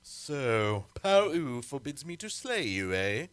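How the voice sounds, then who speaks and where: A man's voice: medium pitch, very animated, slow, smooth. A man playing a cruel, imperious emperor in an old-time radio serial, theatrical and menacing. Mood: cold menace. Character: tyrant emperor, cruel, imperious, grandiose, easily enraged.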